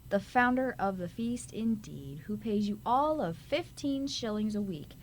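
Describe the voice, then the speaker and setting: A woman playing a caring but fretful, sharp-tongued mother in a radio drama, bustling and emphatic. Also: medium pitch, lively intonation, measured pace, slight background noise, natural voice, poor audio. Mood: indignant.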